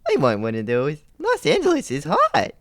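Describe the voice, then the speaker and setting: A woman as an excitable, childlike sidekick, swinging wildly. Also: low voice, normal speed. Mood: puzzled, innocent. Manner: guileless, literal-minded questioning. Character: naive, childlike, excitable sidekick named Snuffy. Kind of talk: comic character banter on a podcast.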